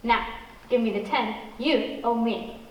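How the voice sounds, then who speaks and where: A woman's voice: a little high, a little slow, natural voice. A woman playing a brazen con artist in vintage comic banter, insistent, bossy and matter-of-fact. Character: brazen, fast-talking female con artist comic partner. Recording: roomy, distant microphone, faint noise.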